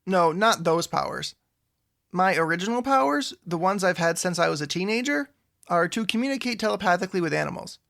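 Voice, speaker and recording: An actor playing a troubled, awkward, wry man with supernatural troubles in an audio drama, his voice creaky. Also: sits high, animated, fairly quick, fairly bright tone, heavily acted. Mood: wry, friendly.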